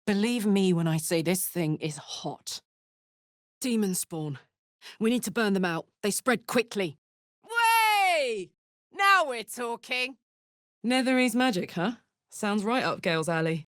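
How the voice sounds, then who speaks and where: A woman voicing a brash, warm-hearted tiefling warrior in a video game, with blunt, earthy soldierly talk. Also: medium pitch, very animated, takes it slowly, no room sound, clean studio sound. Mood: determined. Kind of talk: video game character voice acting.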